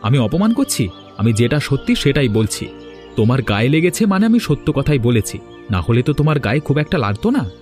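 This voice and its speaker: A man's voice: mid-range voice, sing-song, a little fast, darkish tone, faint music behind, lightly acted. A man voicing a hard-up, determined village snack seller, earnest and outspoken, in a cartoon. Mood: indignant. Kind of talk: Bengali moral-story cartoon dubbing.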